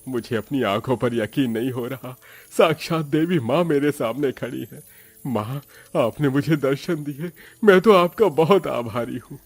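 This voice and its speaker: A man's voice: medium pitch, measured pace, slightly rough, dry recording. A man performs a poor, devout farmer and loving middle-aged father from a cartoon folk tale. He speaks earnestly in a mid-range voice and exclaims often. The sound is dry, with faint music underneath. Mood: awed, grateful. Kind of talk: animated Hindi moral story dialogue.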